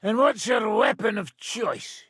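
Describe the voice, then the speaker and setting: Raspy, brash medieval brawler. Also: male voice, sits high, very animated, a little slow, fairly bright tone, dry recording, clean studio sound, heavily acted. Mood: gruff, taunting. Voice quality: raspy, gravelly. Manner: gruff, taunting, boisterous. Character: brash hard-drinking male medieval fighter and combat trainer. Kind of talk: video game voice lines.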